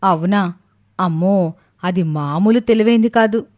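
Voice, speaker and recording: A woman voicing a gossipy, stingy, selfish young wife in an animated story. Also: mid-range voice, measured pace, lightly acted.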